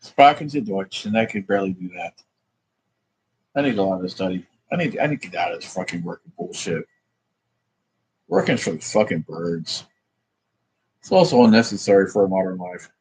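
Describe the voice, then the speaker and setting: A man's voice: deep voice, lively intonation, normal speed, long pauses, darkish tone, slight background noise, low-quality recording. A man rambling in a profane, meandering monologue, thinking aloud as he works through sums. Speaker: vlogger.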